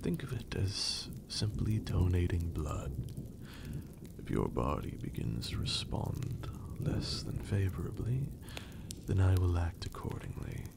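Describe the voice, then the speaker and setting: A man playing a teasing, possessive vampire master in a breathy, formal, seductive ASMR roleplay. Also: very animated, exaggerated voice. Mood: calm, menacing. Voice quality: breathy, low, creaky. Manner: slow, deliberate, formal, seductive.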